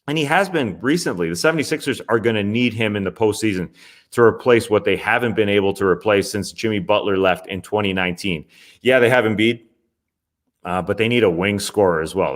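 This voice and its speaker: A man's voice: lowish voice, a bit bright. A young man hosting a basketball recap show, talking in a casual, chatty way with wry asides. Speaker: sports podcast host. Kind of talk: NBA recap commentary.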